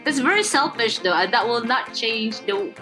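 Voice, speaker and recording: A woman's voice: on the high side, lively intonation, normal speed, over music. A Filipino woman, a guest author, chatting candidly on a podcast, laughing often and speaking bluntly. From Philippines. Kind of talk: casual podcast chat.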